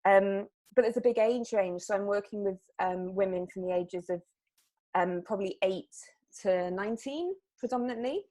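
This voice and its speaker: A woman artist from Wales, chatting casually in an interview and often pausing on fillers and restarting her sentences. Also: medium pitch, warm tone. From South Wales. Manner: hesitant, lots of fillers and restarts.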